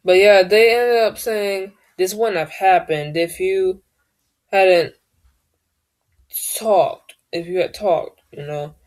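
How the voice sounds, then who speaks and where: A woman with a deep voice, telling a personal story in a casual vlog, often hesitating and searching for words. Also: fairly even intonation, takes it slowly. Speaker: vlogger.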